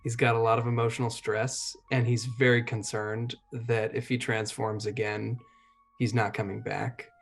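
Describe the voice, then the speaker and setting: A man talks as a player in a tabletop roleplaying game, at an average pitch. He thinks aloud in a casual, deliberate way with pauses. Faint music sits behind his voice. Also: flat delivery, creaky. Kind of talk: casual game talk, describing character actions.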